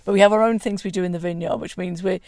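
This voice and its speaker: Brisk, gravelly older woman. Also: lowish voice, steady tone, darkish tone, dry recording, studio quality. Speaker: vineyard owner. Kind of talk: casual interview recorded on site.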